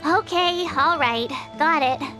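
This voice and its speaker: Perky, impatient anime game girl: chatty, very high pitch, unhurried pace, expressive. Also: soft background music, exaggerated voice. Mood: playful, impatient. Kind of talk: anime-style game voice acting.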